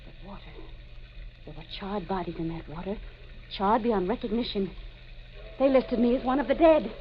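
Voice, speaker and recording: A woman's voice: medium pitch, moderate pace, slight background noise, lightly acted. An actress playing an anguished woman with amnesia who has killed, in brooding, melodramatic 1940s radio mystery style. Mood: dawning horror. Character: anguished amnesiac murderess, haunted and despairing. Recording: muffled old 1940s radio recording.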